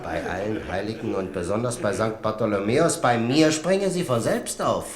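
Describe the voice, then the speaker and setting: An actor playing a boastful, hot-headed duke and rival in a radio play. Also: male voice, lowish voice, lively intonation, moderate pace, lightly acted. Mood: boastful sneer. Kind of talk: 1970s radio play acting. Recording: echoey room, faint background noise.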